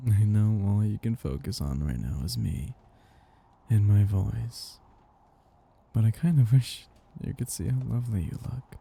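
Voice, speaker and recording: A man voicing a seductive, possessive vampire master in an ASMR roleplay, breathy and gravelly, speaking in a slow, gently domineering, teasing way. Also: on the low side, heavily acted. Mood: tender, possessive. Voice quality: breathy, gravelly, often dropping into whispers. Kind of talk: ASMR roleplay, intimate scripted monologue.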